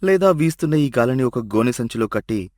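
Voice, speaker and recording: A man playing a humble, clever donkey herder, speaking politely and with quiet, measured reasoning in a moral story. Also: medium pitch, expressive, dry recording, clean studio sound, close to an everyday voice. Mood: calm, respectful. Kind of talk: dramatised moral story dialogue. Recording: music behind the voice.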